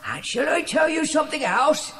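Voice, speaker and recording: An actor playing a simple, spiteful old English servant in an audio drama, muttering and chuckling in rustic, ungrammatical speech. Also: male voice, very high-pitched, sing-song, normal speed, pushed and bright, heavily acted. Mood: gloating. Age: older. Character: simple, spiteful, cackling old cockney dog-keeper man. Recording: echoey reverberant space with faint noise.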